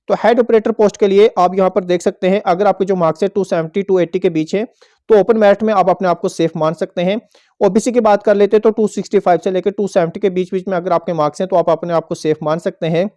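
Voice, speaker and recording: A man's voice: high-pitched, fairly even intonation, quick pace, dark tone. A man presenting an informational explainer to viewers, going step by step and repeating points as he goes. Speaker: host of an exam update channel.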